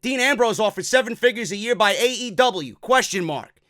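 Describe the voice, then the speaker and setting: Bright, high-voiced energetic man. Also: normal speed, no echo, clean studio sound. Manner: energetic, casual and swearing. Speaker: wrestling news host. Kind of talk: casual wrestling news commentary. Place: office at a desk.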